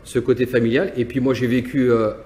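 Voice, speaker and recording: Brief: male company owner from Nantes, halting and reflective, mid-pitched. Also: level tone, warm tone. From France, Nantes. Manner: hesitant, many restarts and repeated words. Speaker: business owner. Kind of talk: interview answers, personal reflection.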